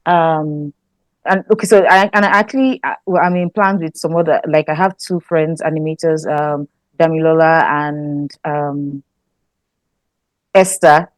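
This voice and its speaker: A woman from Nigeria with a Nigerian accent, a guest scriptwriter chatting in an interview, thoughtful and quick to laugh, often restarting her sentences. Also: deep voice, fairly even intonation, dry recording. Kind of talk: casual interview chat.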